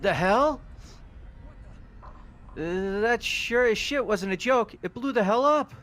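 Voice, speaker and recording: A man's voice: high voice, lively intonation, slow, fairly bright tone, exaggerated voice. A man playing a hot-headed, foul-mouthed young delinquent in a fan game dub, aggressive and blunt. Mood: shaken anger. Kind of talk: fan voice acting of a video game.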